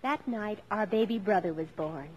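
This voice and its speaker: A young woman playing an earnest high-school daughter, telling her family's story expressively in a 1950s radio drama. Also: moderate pace, lightly acted, rough recording. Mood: fond, reminiscent. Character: bright, earnest eldest daughter, a high-school girl, narrating. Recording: old radio broadcast with loud music.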